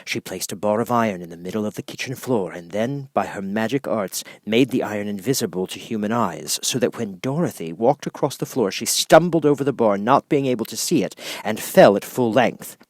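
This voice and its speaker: A man narrates a children's storybook in a fairly low voice. He reads in a measured, expressive storytelling manner. The recording is very dry, with almost no room sound. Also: dry recording. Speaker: audiobook narrator.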